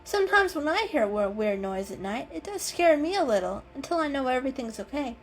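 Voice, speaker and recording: A woman's voice: high-pitched, big pitch swings, brisk, a little hiss or hum, pitched above the performer's own voice. A woman voicing a candid, timid but loyal little house cat in an earnest manner for a children's story. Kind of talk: dramatic children's story reading.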